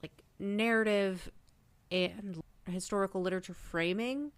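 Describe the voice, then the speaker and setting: A woman's voice: mid-range voice, a little slow, clean tone, no echo. A woman podcast guest chatting casually and enthusiastically, with emphatic phrasing and some swearing. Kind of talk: casual discussion of a fiction podcast.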